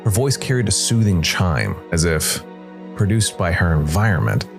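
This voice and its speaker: A man's voice: low voice, expressive, moderate pace, gravelly. A man hosting a story podcast, reading scripted narration. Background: music.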